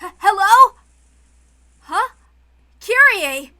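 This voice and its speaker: A woman voicing a distraught young man who exclaims in loud, panicked anguish for a dramatic story reading. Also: extremely high pitch, very animated, very slow, pitched above the performer's own voice, heavily acted. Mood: alarmed.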